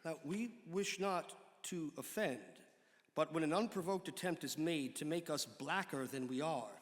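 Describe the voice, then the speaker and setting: A man giving a measured, formal dramatic reading of an old historical pamphlet. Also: fairly high pitch. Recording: long hall reverb.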